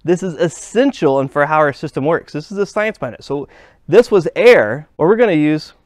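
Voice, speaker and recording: A man's voice: fairly high pitch, lively intonation, a little fast, no room sound. Man explaining hands-on in a dry room. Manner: plain, step-by-step explaining while handling parts. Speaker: HVAC instructor. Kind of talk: hands-on technical demonstration. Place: workshop bench.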